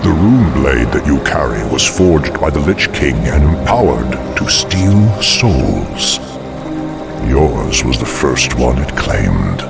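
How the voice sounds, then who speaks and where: Cavernous, gravelly demon lord. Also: male voice, a bass voice, big pitch swings, loud music behind the voice, full character voice. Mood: commanding. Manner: pompous, commanding, measured. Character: imposing male demon dreadlord, sinister and calculating. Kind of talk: dramatic video game acting.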